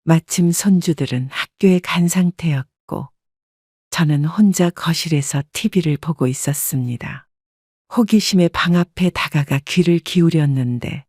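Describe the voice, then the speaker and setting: A woman narrating a first-person family story in a measured, subdued reading. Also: low-pitched, mellow, dry recording, clean studio sound.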